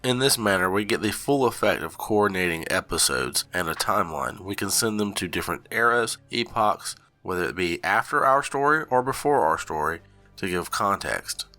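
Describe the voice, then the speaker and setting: A man with a lowish voice reads a scripted announcement, laying out rules in a measured, charter-like way. His tone is bright and forceful, with faint music behind him. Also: lively intonation. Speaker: channel co-founder.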